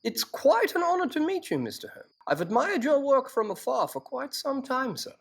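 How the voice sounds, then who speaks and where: A man playing an eager, admiring Victorian detective inspector, husky and polite, in an audio drama. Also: very high voice, sing-song, normal speed, heavily acted. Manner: polite, deferential.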